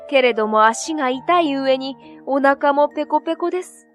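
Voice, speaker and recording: A woman's voice: high voice, moderate pace. A woman reading a scripted children's story as narrator, with music playing behind her.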